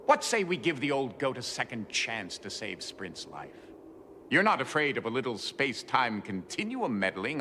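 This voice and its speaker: An actor playing a mischievous, theatrical trickster figure who mocks and laughs in a game movie. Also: male voice, pushed and bright, in a large hall, a little hiss or hum. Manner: gleeful, sarcastic, laughing often, sudden swoops in pitch. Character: mischievous godlike trickster man, mocking and theatrical.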